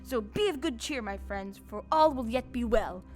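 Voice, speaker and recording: A woman's voice: a little high, animated, moderate pace, soft background music, close to an everyday voice. A woman playing a cowardly talking lion in an audio play, declaiming earnestly and swinging between boastful and fearful. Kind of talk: stage-style audio play acting.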